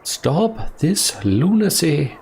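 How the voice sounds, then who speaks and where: A man's voice: a little high, very animated, very slow, vocal fry, a little mellow, a little hiss or hum, voice pushed up, full character voice. A man voicing a stern, urgent female mage in command, reading fantasy game dialogue. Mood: outraged. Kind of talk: voiced video game dialogue.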